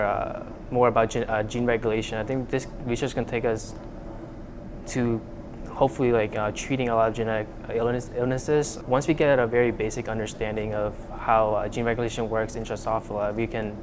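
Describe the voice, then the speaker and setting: A young man from Vietnam speaks earnestly about his lab work, circling back through fillers and restarts. His pitch sits mid-range. A steady air-conditioning hum runs underneath the recording. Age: young adult. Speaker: student researcher. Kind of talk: casual interview. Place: lab room.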